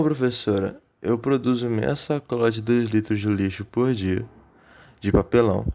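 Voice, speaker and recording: A man's voice: medium pitch, darkish tone, a little hiss or hum, close to an everyday voice. A man playing an eager, studious Brazilian student in an amateur radio drama, explaining things earnestly.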